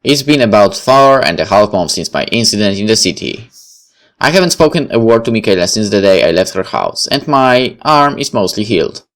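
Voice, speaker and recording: A man's voice: fairly low pitch, expressive, a little fast, fairly bright tone. A man reading a story game aloud and commenting on it as he plays. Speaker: gamer reading a visual novel aloud and commenting. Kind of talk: dramatic read-aloud of first-person fiction with casual asides.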